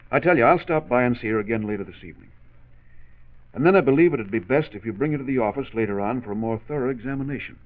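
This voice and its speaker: An actor playing a calm, reassuring small-town doctor, explaining carefully in a 1940s radio drama. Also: male voice, mid-range voice, fast talker, close to an everyday voice. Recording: tinny narrow 1940s radio recording.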